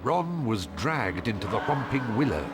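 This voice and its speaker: A man's voice: medium pitch, very animated, normal speed. A man narrating a video game story in scripted recaps between scenes. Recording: narration over game music. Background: music.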